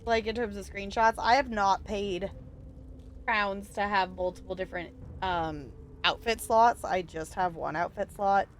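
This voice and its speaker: A woman's voice: medium pitch, measured pace, slight background noise. A woman in her twenties chatting casually as she streams a game, rambling from thought to thought with frequent laughs. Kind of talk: casual gameplay commentary.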